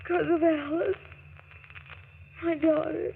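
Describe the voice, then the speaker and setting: A fragile mother racked with guilt and fear. Her high voice is halting and tearful in the melodramatic manner of 1940s radio, heard through a muffled broadcast with faint hiss. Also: fairly even intonation, vocal fry, exaggerated voice. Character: anguished, guilt-ridden mother, fragile and fearful.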